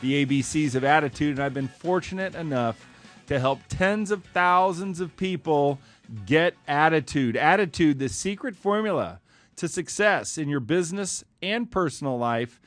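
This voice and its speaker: A man's voice: fairly high pitch, animated, normal speed, a bit bright, soft background music. A man hosting a motivational podcast, with an enthusiastic, punchy delivery. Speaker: podcast host.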